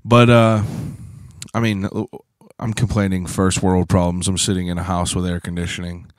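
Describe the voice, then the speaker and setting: A man in his thirties from Pittsburgh, hosting a podcast in a casual, rambling solo talk, hoarse from shouting, swearing freely and filling gaps with "uh". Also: sits low, flat delivery, moderate pace, dry recording, studio quality. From Pittsburgh, USA. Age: 30s. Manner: rambling, profane, self-deprecating; frequent "uh" fillers and throat clearing. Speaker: podcast host.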